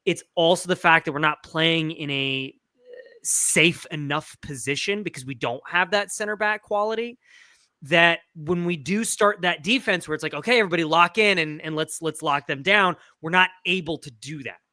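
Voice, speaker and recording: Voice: mid-range voice, moderate pace, pushed and bright, dry recording, studio quality. A podcast panelist in a casual sports discussion, explaining things in long, looping, emphatic runs.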